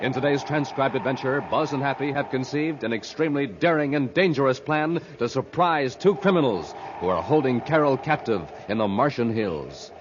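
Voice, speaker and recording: A man's voice: medium pitch, normal speed, few pauses. A man with an American accent, announcing a 1950s radio adventure show in a dramatic style. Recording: noisy, dull 1950s radio recording.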